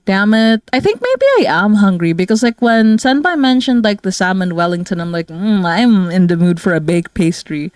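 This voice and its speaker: A woman's voice: mid-range voice, sing-song, moderate pace, few pauses, a little mellow, clean tone, no echo, studio quality. A woman from the Philippines chatting casually on a livestream, rambling and giggling with lots of fillers. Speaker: streamer and artist.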